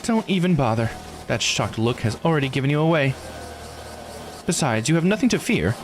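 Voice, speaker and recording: A man's voice: mid-range voice, sing-song, a little fast, background noise, voice pushed up, close to an everyday voice. A man voicing a shrewd, self-assured investigator in a visual novel, probing and insistent yet composed. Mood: confident, insistent. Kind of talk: visual novel character read-aloud.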